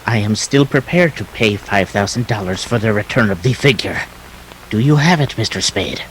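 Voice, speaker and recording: A man's voice: lowish voice, expressive, fairly bright tone, a little hiss or hum, low-quality recording. A man acting a fussy, formally polite and slippery Greek crook in a 1940s detective radio play. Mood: smoothly courteous. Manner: formal, precise, halting politeness.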